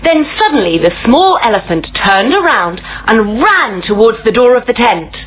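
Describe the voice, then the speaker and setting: Expressive, animated woman reading a children's story. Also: on the high side, moderate pace, low-quality recording. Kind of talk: scripted children's story narration.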